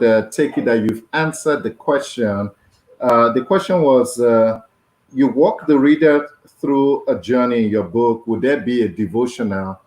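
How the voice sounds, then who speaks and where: A man interviewing an author at a book launch, affirming her answers and asking measured questions with hesitations. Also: mid-range voice, darkish tone, reverberant.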